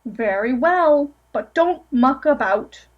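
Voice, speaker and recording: A woman voicing a kindly but firm old boatman who speaks in slow, measured warnings. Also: high-pitched, animated, dull audio, heavily acted.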